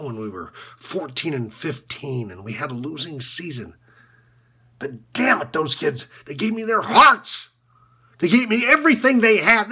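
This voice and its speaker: Man playing a disillusioned college basketball coach, rough husky voice, wildly swinging pitch, sardonic and halting, dramatic film monologue. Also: pushed and bright, exaggerated voice. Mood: wistful, impassioned. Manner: halting, repetitive, sardonic, swinging from muttering to outbursts. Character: disillusioned, bitter male college basketball coach confessing corruption. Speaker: actor performing a film monologue.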